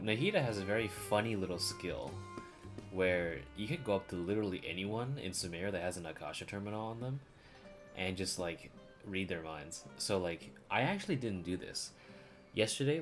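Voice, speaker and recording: A woman giving casual, unscripted live commentary as a game streamer, with loose phrasing and some swearing. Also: rumbling low. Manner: casual, swearing, filler-heavy.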